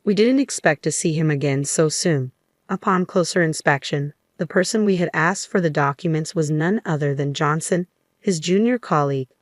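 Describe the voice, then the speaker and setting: Low-pitched woman narrating a story. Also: moderate pace, no echo, clean studio sound. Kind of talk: scripted first-person story narration.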